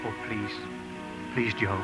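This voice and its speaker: An actor playing a shy, humble professor with a foreign accent, speaking formally and hesitantly in a 1950s radio drama. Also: male voice, on the low side, little pitch movement, takes it slowly. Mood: tender, hesitant. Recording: old radio broadcast with music.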